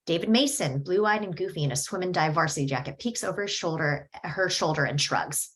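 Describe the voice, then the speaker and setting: A woman reading screenplay stage directions at a table read in a steady, descriptive way. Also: lowish voice, brisk.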